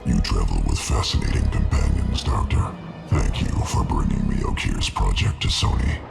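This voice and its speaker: A man's voice: extremely low pitch, animated, normal speed, creaky, full character voice. A man playing a hulking alien crime lord in a video game, cold and menacing, with a guttural growl and formal, imperious delivery. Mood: threatening. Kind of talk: video game villain acting. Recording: loud music behind the voice.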